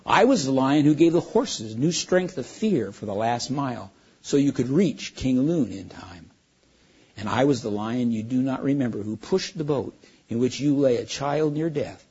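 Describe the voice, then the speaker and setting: A man voicing a vast, calm male lion who speaks slowly and solemnly in a storybook reading. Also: medium pitch, slightly rough. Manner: slow, solemn and gentle. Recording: dull lo-fi recording.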